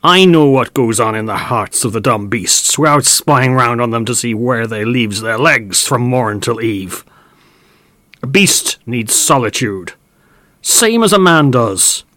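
A man's voice: mid-range voice, animated, unhurried, sharp tone, dry recording. A man voicing a stubborn, pleading old male farmhand who speaks in a broad country dialect.

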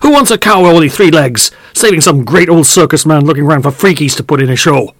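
A man voicing an angry, grasping middle-aged male farmer who shouts and scolds in a broad country dialect. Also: a little high, animated, fast talker, dry recording, studio quality, pitched above the performer's own voice. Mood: furious.

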